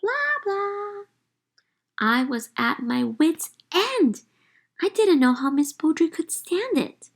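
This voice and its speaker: A woman voicing an exasperated, embarrassed little girl in an animated, scolding storybook read-aloud. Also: high-pitched, slow pace, pitched above the performer's own voice, heavily acted. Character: exasperated, embarrassed little girl narrating her mom's misbehavior.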